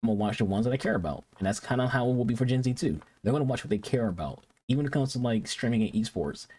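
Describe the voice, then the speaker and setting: A man's voice: mid-range voice, expressive, rapid-fire, darkish tone, husky. A man from Detroit hosting a reaction video, talking casually and rambling with frequent restarts and repeated words. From Detroit, United States.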